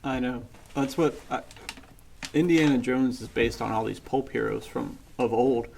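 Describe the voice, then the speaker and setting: A man's voice: mid-range voice, steady tone, unhurried, gravelly, darkish tone, slight background noise. A man chatting casually about books as a podcast co-host, in an enthusiastic, slangy and informal way. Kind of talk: casual book chat.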